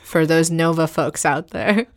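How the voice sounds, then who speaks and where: A woman who grew up in India, a theatre director, chatting casually as an interview guest in a halting, self-deprecating way, with many fillers and laughs. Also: low-pitched, lively intonation.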